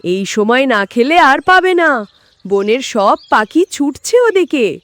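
Woman voicing a sly jealous cartoon crow, very lively. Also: high-pitched, slow pace, dry recording, full character voice. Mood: coaxing. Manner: sly, gloating, falsely friendly. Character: jealous, scheming, arrogant crow, feigning sweetness. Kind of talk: cartoon character voice in a moral tale.

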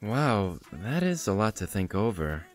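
A man playing a young male vampire, hot-tempered yet tender, in an animated roleplay drama. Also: fairly low pitch, a little slow, soft background music. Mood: gentle, concerned.

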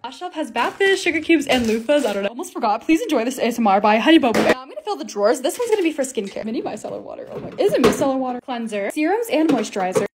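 A young woman in her twenties with a slightly raspy voice, chatting excitedly in a casual voice-over while organizing her home. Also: high-pitched, background noise. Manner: chatty, excited, quick and bubbly. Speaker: TikTok creator.

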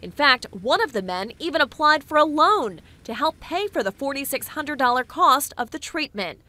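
A woman in her thirties reads an investigative news script with a measured, clear delivery. Her voice sits a little high and sounds bright and crisp. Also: big pitch swings. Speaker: investigative reporter.

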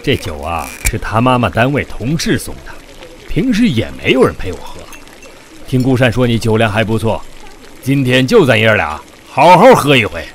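A man's voice: mid-range voice, wildly swooping pitch, moderate pace, slight background noise. A man playing a hearty, blunt middle-aged father in an audio drama, talking in a paternal way. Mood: jovial. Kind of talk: Mandarin audio drama acting.

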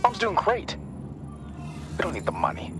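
A man's voice: normal speed, close to an everyday voice. An actor playing a smart young male student in a video game, exasperated, sarcastic and scolding. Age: young adult. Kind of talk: video game cutscene acting. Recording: music behind the voice.

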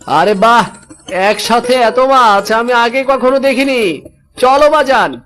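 A man as a high-voiced, earnest village boy. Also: a little slow, fairly bright tone. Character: dutiful young fisherman's son. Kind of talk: cartoon voice acting.

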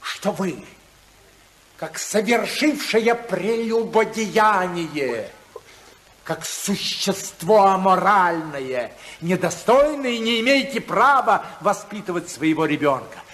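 A man's voice: high-pitched, lively intonation, a bit bright, heavily acted. An actor playing a domineering, ruthless older tycoon father in 1950s radio drama, speaking in an imperious, oratorical manner. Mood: threatening. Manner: imperious, oratorical, slow and emphatic.

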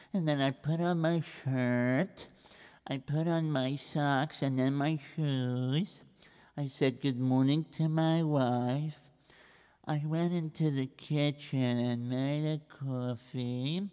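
A man voicing a dazed, bewitched man who mumbles slowly and hesitantly through the same routine in a children's story. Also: fairly high pitch, a little mellow, clean tone, in a large hall, pitched below the performer's own voice. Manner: mumbling, slow, repetitive, hesitant.

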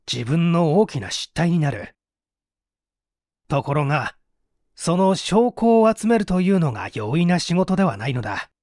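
A man narrating a detective story as an audiobook, with theatrical, deliberate phrasing. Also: on the high side, a little mellow, dry recording, clean studio sound. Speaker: audiobook narrator, stage actor.

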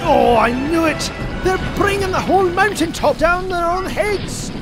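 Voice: very high voice, unhurried, over music, low-quality recording. A performer voicing an exasperated, scrappy male duck prospector in a cartoon comic dub, exclaiming in stretched-out words. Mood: urgent.

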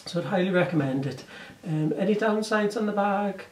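A man in his forties with an English accent, chatting casually through a bag review, hoarse from a sore throat and often correcting himself. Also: high voice, normal speed, reverberant, recorded from a distance. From England. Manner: rambling, self-correcting, chatty. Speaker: vlogger reviewing bags. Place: room indoors, curtain backdrop.

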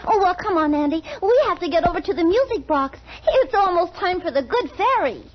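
A woman's voice: very high voice, lively intonation, fairly quick. A woman playing a sweet, childlike rag doll girl who frets and exclaims in a 1940s children's radio play. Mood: eager, fretful. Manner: exclamatory, plaintive, gushing. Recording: muffled, noisy 1940s radio recording.

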